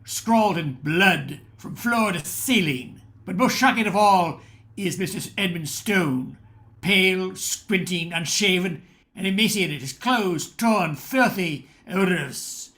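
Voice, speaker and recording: Male narrator, high pitch, bright forceful tone, dramatic scripted storytelling, radio play. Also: lively intonation, slow pace.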